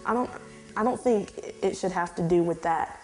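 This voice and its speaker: A woman's voice: on the low side, moderate pace, faint music behind. A teenage girl auditioning for a lead role, speaking candidly about growing up in a musical family. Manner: candid and reflective. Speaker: student auditioning.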